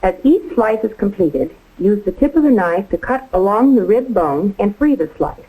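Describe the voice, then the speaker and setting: A woman's voice: medium pitch, lively intonation, moderate pace, breathy edge, phone-quality sound. A woman giving precise, step-by-step scripted instruction as a home economist in a 1950s training film. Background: music.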